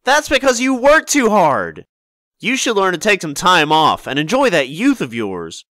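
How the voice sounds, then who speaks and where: A man's voice: high voice, huge pitch swings, normal speed, bright, forceful tone, dry recording, full character voice. A friendly, teasing male coworker from a visual novel. His delivery swings up and down, recorded dry and close. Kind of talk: visual novel game acting.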